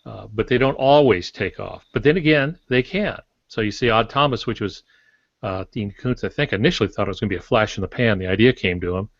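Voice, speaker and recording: An older man with a fairly low voice chats as a novelist on a podcast. His delivery is halting and anecdotal, with a matter-of-fact tone. The sound is dry and somewhat dull and lo-fi. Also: no room sound. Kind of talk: casual podcast discussion about writing.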